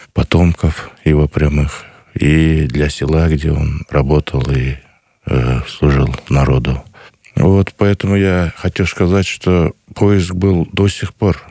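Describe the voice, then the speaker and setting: A man, answering a radio interviewer earnestly and slowly, with many pauses. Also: rumbling low, mellow, dry recording, clean studio sound. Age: 50s. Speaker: guest, grandson of a missing soldier.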